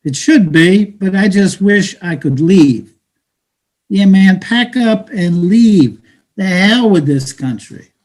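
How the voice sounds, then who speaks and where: A man in a play reading, playing a cynical, bitter, streetwise Brooklyn-born man who talks in halting slang. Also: high voice, lively intonation, a little mellow. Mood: bitter, weary. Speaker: stage actor.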